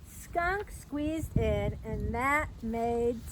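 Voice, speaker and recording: A middle-aged woman reads a picture book aloud to young children in an expressive, sing-song storytelling manner with chatty asides. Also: a little high, drawn-out words. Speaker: park educator hosting a children's storytime. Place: outdoors by a fence at a trailhead. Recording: outdoor recording with background noise.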